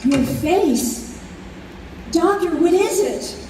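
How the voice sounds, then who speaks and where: Woman playing high-voiced, earnest grown daughter. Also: animated, extremely slow, exaggerated voice. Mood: worried. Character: earnest, protective grown daughter of ailing mother. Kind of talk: old time radio acting. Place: convention hall stage. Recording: echoey hall, distant, faint noise.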